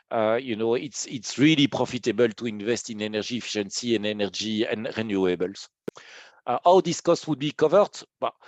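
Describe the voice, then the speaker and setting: A man with a cold and a hoarse, fading voice gives a webinar presentation over a video call, hesitating often with fillers and repeated words. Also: medium pitch, no room sound. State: hoarse from a cold, losing his voice. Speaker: policy presenter.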